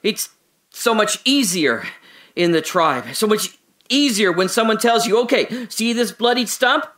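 A bitter, sardonic man held in custody rambles through a radio-drama monologue. His tone is bright and forceful, and he drifts from hushed, brooding musing into indignant outbursts. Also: sits high, lively intonation, measured pace, exaggerated voice. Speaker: voice actor.

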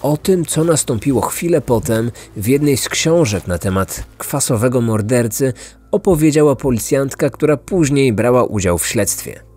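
A man narrating a scripted true-crime story as a podcast host, in a measured storytelling manner. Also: medium pitch, lively intonation, dark tone, breathy edge, faint music behind.

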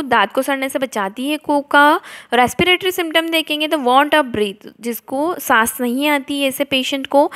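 A woman's voice: high-pitched, fairly bright tone. A young woman with an Indian accent giving an explanatory lecture, reading notes aloud and then explaining them. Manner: switches between reading out and explaining. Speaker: homeopathy lecturer.

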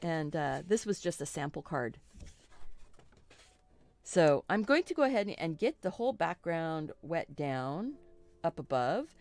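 A middle-aged woman with a slightly froggy voice, hosting a relaxed live painting lesson and chatting reassuringly with little asides and self-corrections. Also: low-pitched, measured pace, pauses often, no echo. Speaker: art teacher hosting a live stream.

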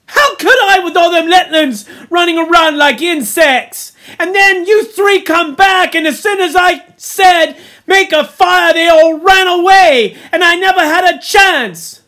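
An indignant young schoolboy, acted out by a woman reader, very high and loud, protesting in rough grammar. He whines and scolds with panic close to the surface, in a sharp, forceful tone made for a storybook. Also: measured pace, hardly any pauses. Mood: aggrieved protesting. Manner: ungrammatical, shrill protesting tirade.

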